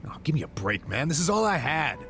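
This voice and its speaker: An actor voicing a blustering, slangy middle-aged ex-cop who bluffs as a rich benefactor in a video game. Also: male voice, fairly high pitch, big pitch swings, fast, fairly bright tone, soft background music. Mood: casual, pleading. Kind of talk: video game cutscene dialogue.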